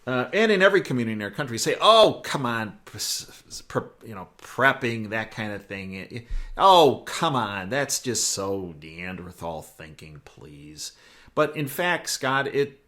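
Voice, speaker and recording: An American man hosting a current-affairs interview in a conversational style, with measured, emphatic phrasing. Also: medium pitch, very animated, pushed and bright. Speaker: interview host.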